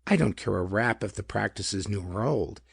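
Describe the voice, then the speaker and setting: A man's voice: lowish voice, animated, moderate pace, gravelly, slightly rough, dry recording, studio quality, full character voice. A man voicing a chubby, superior male detective in a pulp audiobook, with formal, pedantic, emphatic phrasing. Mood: sharp, accusing.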